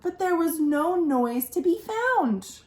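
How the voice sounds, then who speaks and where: A woman reading a picture book aloud for young children as a storytime host, animated, with questions to listeners and dramatic pauses. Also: very high-pitched. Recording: roomy, echoing indoor sound.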